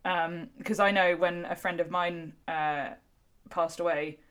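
A woman's voice: on the low side, fairly even intonation, a little slow, fairly bright tone, clear, resonant tone. A woman talking casually and frankly about a film as a podcast host, swearing freely and peppering her speech with "like". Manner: informal, opinionated, sweary, frequent "like". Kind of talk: casual chat, film discussion.

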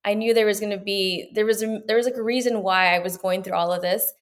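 A woman's voice: mid-range voice, fairly even intonation, quick pace. A woman in her twenties, from Peru, telling her story as an interview guest in an earnest, rambling way. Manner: earnest, rambling with fillers and restarts. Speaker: guest, medical student. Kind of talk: conversational interview.

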